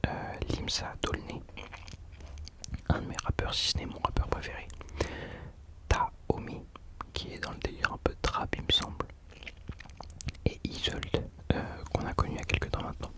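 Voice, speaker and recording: A man's voice: normal speed, pauses often, sharp tone. A young man whispering casual ASMR commentary as a host, hesitantly searching for his words.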